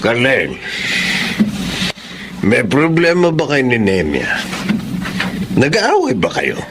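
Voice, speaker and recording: Gravelly older Filipino father. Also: medium pitch, huge pitch swings, slow pace, background noise, heavily acted. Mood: concerned, indignant. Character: concerned older father, knowing, indignant. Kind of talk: Filipino radio drama acting.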